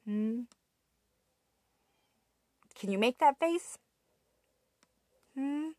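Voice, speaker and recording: A woman in her thirties reads a picture book to toddlers, drawing each word out very slowly and pausing to ask the children questions. Her voice sits a little high, and it is picked up close and dry by a webcam in a small room. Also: lively intonation, dark tone, no echo. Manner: slow, sing-song, asks little listeners questions. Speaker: teacher reading aloud. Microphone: webcam at arm's length.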